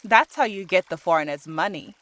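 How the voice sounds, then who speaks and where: A woman's voice: lowish voice, fairly quick, a bit bright, no room sound, close to an everyday voice. A woman voicing a young woman dancer in an audiobook, a playful, determined elder sister with an emphatic way of talking. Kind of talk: audiobook character dialogue.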